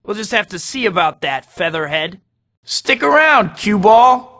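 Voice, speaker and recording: Wisecracking young superhero. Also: male voice, sits high, big pitch swings, a little slow, big-room sound, full character voice. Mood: taunting. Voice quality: bright. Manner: sarcastic, teasing. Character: wisecracking young male superhero, cocky and joking. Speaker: video game hero voice. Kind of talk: quippy game voice clips.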